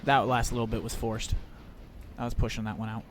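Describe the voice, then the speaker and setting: A man live-streaming a game, chatting casually with viewers in a rambling, profane, joking way, his voice slightly raspy. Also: medium pitch, level tone, a little fast, background noise.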